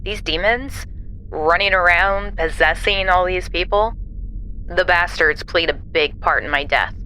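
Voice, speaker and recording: A woman's voice: lowish voice, steady tone, unhurried, bright, forceful tone, slight background noise, low-quality recording. An actress playing a tough, sardonic, salty-tongued woman bent on revenge in a horror audio drama. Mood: wry, determined.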